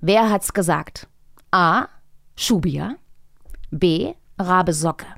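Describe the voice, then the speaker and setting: A woman's voice: fairly low pitch, very slow, gravelly, a bit bright, no echo, studio quality. A woman talking as a podcast guest in casual, joking conversation.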